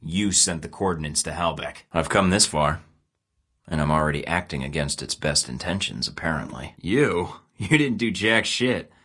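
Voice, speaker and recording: A man's voice: sits low, expressive, normal speed, pushed and bright, breathy edge, full character voice. A young man playing a cocky male rogue spy who talks in a sarcastic, clipped way in a studio game recording. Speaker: secret agent protagonist. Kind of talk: video game voice acting.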